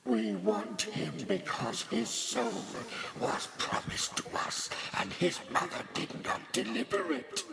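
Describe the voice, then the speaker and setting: A man voicing an imperious demon lord who speaks slowly and with command. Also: high-pitched, big pitch swings, vocal fry, a loud crowd, voice pushed up, exaggerated voice. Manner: slow, drawn-out, commanding. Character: Beelzebub, imperious demon lord, part elephant part wasp.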